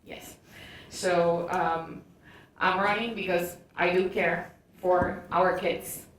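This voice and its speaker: A woman introducing herself as a candidate at a forum, speaking hesitantly in short, halting phrases. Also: lowish voice, steady tone. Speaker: school board candidate. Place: indoor public forum room. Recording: very echoey room, distant mic, faint noise.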